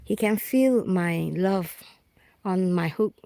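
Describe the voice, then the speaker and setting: Singaporean lady chatting on a livestream outdoors, unhurried, lots of ums and restarts. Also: on the low side, vocal fry, mellow. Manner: chatty, halting, many fillers and restarts. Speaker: vlogger. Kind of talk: casual live-stream chat while fishing.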